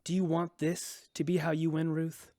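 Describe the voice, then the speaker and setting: An actress playing a stern, principled farmer in a radio drama, with an intense, accusing manner. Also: female voice, deep voice, level tone, normal speed, warm tone, no room sound, clean studio sound, lightly acted. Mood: weary. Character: stern, principled, wounded young farm owner.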